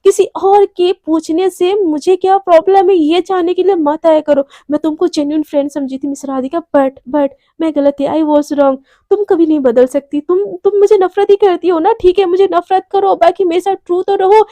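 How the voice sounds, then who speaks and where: Woman acting furious, high-voiced young office woman. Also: fairly even intonation, moderate pace, warm tone, no echo, close to an everyday voice. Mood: hurt, angry. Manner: scolding, emphatic, insistent. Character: furious young female office worker, proud and hurt.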